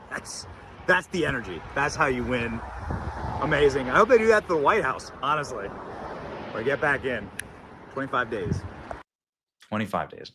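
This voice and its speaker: A man hosting a live political talk show from a phone, excitable and rambling, often repeating himself. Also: medium pitch. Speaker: host.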